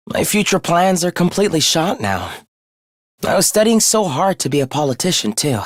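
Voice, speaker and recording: A courteous, menacing young misanthrope with swooping pitch. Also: male voice, dry recording, studio quality. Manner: courteous, formal, theatrical, condescending. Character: polite, softly menacing young man who loathes humanity. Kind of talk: English video game voice acting.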